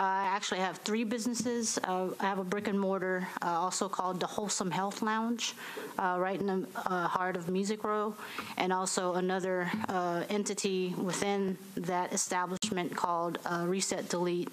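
A young adult woman testifying at a public hearing from a podium microphone, speaking haltingly with many fillers and restarts. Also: on the low side, slight background noise, rough recording. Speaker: business owner giving public testimony. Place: municipal hearing room.

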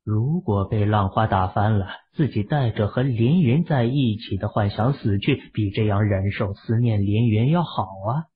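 A man narrates an audio drama with steady storytelling pacing. His voice is low-pitched, husky and breathy. The recording sounds muffled and lo-fi. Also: moderate pace, few pauses.

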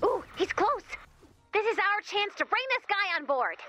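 A woman's voice: very high-pitched, very animated, normal speed, pushed and bright, full character voice. A woman playing a wisecracking, eager, sarcastic female robot helper in a video game, quippy and playful with rambling asides. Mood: eager, persuasive. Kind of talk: scripted video game character dialogue.

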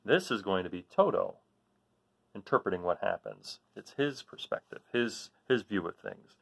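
A man's voice: fairly low pitch, expressive, gravelly, a bit bright, husky, no echo. A man in his forties explaining a children's book as a read-aloud host, often correcting himself. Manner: halting, self-correcting. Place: small room at home, indoors. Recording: dull lo-fi device microphone.

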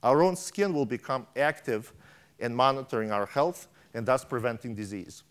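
A man's voice: mid-range voice, normal speed, clear, resonant tone. A middle-aged man giving a keynote on a large conference stage, reading a prepared speech from a tablet with frequent restarts and stumbles, on a lapel mic. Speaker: keynote speaker.

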